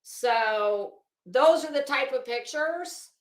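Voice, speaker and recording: A woman's voice: high voice, animated, takes it slowly. A woman giving casual, opinionated, rambling commentary as an online host, gossipy and emphatic, stretching out the words she stresses. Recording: roomy, echoing room sound.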